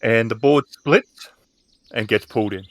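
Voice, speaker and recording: A man's voice: mid-range voice, a little slow, no room sound. A man narrating a tabletop roleplaying game as game master.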